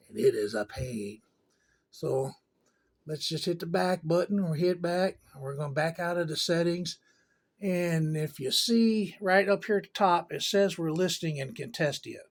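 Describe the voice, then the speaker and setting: A man giving a casual hands-on tech tutorial in a folksy, rambling way with informal grammar. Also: a little high. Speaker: tutorial presenter.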